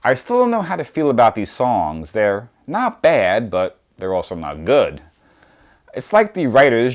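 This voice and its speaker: A man in his early forties narrating a scripted review with wry, dry humour. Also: medium pitch. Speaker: record reviewer, presenter. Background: music.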